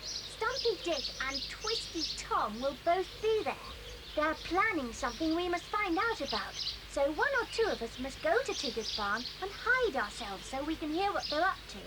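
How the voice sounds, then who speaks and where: A bossy little schoolgirl, boastful and sly. The voice is high and very animated, commanding in a children's radio-play way, on a clearly noisy old recording. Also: normal speed. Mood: hushed, solemn. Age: child figure. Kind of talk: children's audio drama acting.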